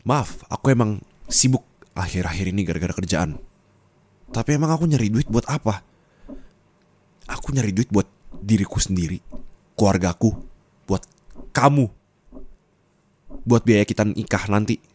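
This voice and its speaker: A young man speaking an ASMR roleplay monologue as a disappointed boyfriend, low and intimate, scolding and at times rising to anger. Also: lively intonation, normal speed, pauses often, darkish tone.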